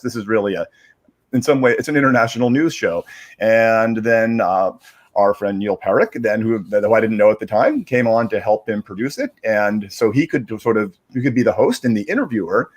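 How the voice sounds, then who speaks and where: A man chatting casually on a livestream, explaining in a halting, rambling way and repeating words as he searches for phrasing. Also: on the low side, animated. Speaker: digital media strategist, guest reader.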